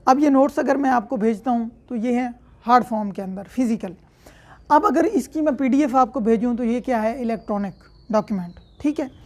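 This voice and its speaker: High-pitched Pakistani woman lecturing. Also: a little mellow. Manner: explains step by step with everyday examples and frequent check-in tags. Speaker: law lecturer. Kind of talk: explanatory law lecture.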